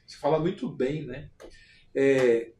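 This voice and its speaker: A man hosting a podcast interview, telling stories in an animated way and asking questions. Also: medium pitch, measured pace, distant mic, a little hiss or hum. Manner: animated storyteller, leading questions. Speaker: podcast host. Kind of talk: conversational interview. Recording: roomy echo.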